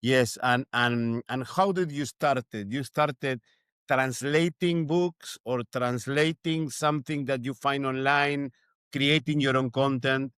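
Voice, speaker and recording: A man hosting a casual interview in halting, non-native English, often repeating words as he builds his questions. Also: medium pitch, fairly even intonation, a bit bright, smooth, no echo, studio quality. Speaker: podcast host, interviewer.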